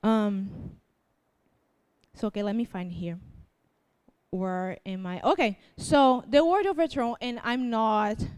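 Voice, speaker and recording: A woman's voice: fairly high pitch, takes it slowly, a little mellow, clean tone. A young woman from Brazil with a Brazilian Portuguese accent, reading scripture and preaching informally in an earnest, self-deprecating way, with many fillers. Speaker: church speaker.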